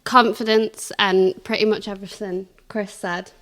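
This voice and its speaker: A woman's voice: medium pitch, little pitch movement, normal speed, fairly bright tone. Upbeat dance captain woman. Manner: upbeat, brief answers, laughs. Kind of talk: interview guest.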